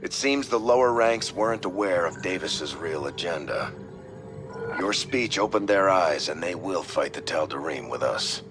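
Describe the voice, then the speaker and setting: A man's voice: low-pitched, lively intonation, normal speed, music in the background. A man playing a loyal, composed space fleet admiral in a video game, reporting in a formal military manner.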